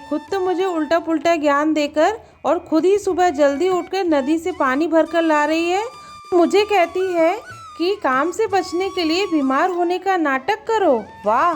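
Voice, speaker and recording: Cartoon farmer's wife, woman performer: very high voice, dramatic village homemaker with a scheming streak. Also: a little slow, faint music behind. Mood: scornful. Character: farmer's scheming, later remorseful young wife. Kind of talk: Hindi moral story cartoon dialogue.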